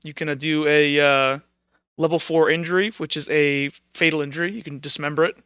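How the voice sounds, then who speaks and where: High, bright-voiced male game master. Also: steady tone, clean tone, dry recording, highs cut off. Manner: halting, informal, thinking aloud. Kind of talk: casual improvised narration of a tabletop roleplaying game.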